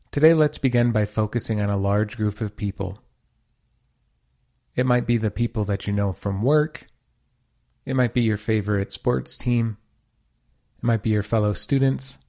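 A man with a soft, relaxed, mellow voice at an average male pitch guides a meditation. He gives gentle instructions in short, unhurried phrases. His delivery is calm and soothing throughout.

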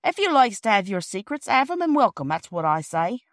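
A woman's voice: mid-range voice, big pitch swings, fairly quick, dry recording, clean studio sound, pitched above the performer's own voice, lightly acted. A woman voicing a huffy, offended working-class male railway porter in a storybook, with sulky, colloquial talk. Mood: huffy, lofty.

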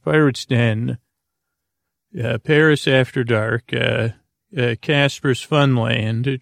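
Male bedtime podcaster, very gravelly and soothing, wandering monologue with long hesitations, recorded dry and close. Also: medium pitch, no room sound. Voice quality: creaky. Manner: meandering, halting, full of pauses; lulling, soothing. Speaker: podcast host.

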